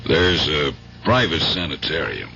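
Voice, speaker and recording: An actor playing a calm but firm American police superior in a 1970s radio drama, measured and insistent. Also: male voice, rumbling low, creaky, exaggerated voice. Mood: firm, placating. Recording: dull 1970s radio recording with hum.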